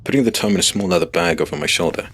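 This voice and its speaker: A man giving a measured, serious scripted narration of a fantasy story as its first-person hero. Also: low voice, a bit bright, slightly rough.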